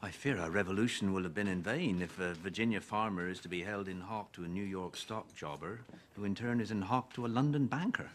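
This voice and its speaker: Period film casting: a man to play a genteel, doubting revolutionary statesman, low voice, careful formal delivery, slightly noisy soundtrack. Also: natural voice. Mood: troubled, wary. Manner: measured, formal, faintly hesitant and wry. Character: courtly, skeptical Virginian statesman of revolutionary-era America. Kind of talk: period film drama dialogue.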